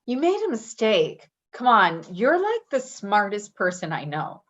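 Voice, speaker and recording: An actress plays a tough ex-convict woman in a stage drama. She is blunt and quick to bristle, her pitch moving widely, on a slightly dull recording. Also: big pitch swings, a bit bright. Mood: reassuring. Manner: blunt, combative, defensive. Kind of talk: dramatic play reading, naturalistic dialogue.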